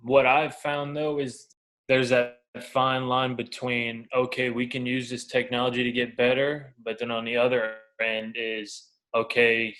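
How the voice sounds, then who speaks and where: A man's voice: medium pitch, fairly bright tone, poor audio. A man in his twenties, a professional ballplayer, talking casually and candidly in an interview, using colloquial, anecdotal phrasing. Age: 20s. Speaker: professional baseball pitcher being interviewed.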